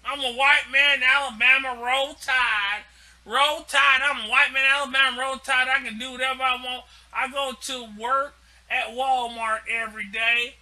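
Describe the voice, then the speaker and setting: A man doing a loud boastful redneck from Alabama, very high voice, piercingly bright. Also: fairly even intonation, moderate pace, exaggerated voice. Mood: brash. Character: brash male redneck worker from Alabama.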